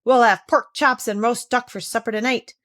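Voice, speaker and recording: A woman's voice: on the high side, fairly even intonation, normal speed, fairly bright tone, voice pushed up, close to an everyday voice. Ben Ali, a woman doing a gloating pirate captain. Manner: gloating, blustering. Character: boastful male pirate captain, a bully.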